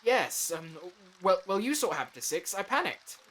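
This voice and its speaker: A man's voice: sits high, sing-song, normal speed, a bit bright, exaggerated voice. A man acting in an audio drama as a dazed, apologetic, anxious figure who stammers and hesitates.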